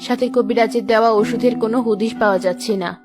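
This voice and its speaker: A woman narrates a children's fairy tale in a scripted storytelling style. Music plays clearly behind her voice. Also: a little high, fairly even intonation, quick pace.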